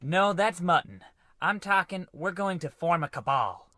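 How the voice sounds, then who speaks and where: A put-upon spaceship science officer, played by a man in an old-style radio comedy. The voice is highish and piercingly bright, swinging up and down as it makes fussy, exasperated protests, with a dry close sound. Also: expressive, measured pace, no echo, exaggerated voice. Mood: conspiratorial. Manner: deferential but fussy and protesting. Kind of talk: scripted old-time radio comedy acting.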